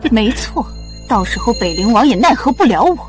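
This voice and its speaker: A woman's voice: a little high, sing-song, moderate pace. An actress playing a proud, fierce young female army general in a costume war drama. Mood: cold, defiant. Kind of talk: period war drama acting. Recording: dramatic music under the dialogue.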